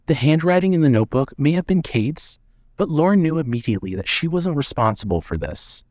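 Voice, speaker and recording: A man reading scripted narration for an audio drama in a measured way. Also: on the high side, sing-song, darkish tone, dry recording.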